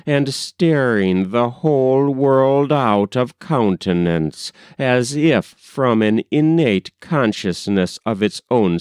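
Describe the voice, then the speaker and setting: A man narrating a literary story in a measured, expressive reading style. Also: mid-range voice, clean tone, no echo, clean studio sound. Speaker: audiobook reader.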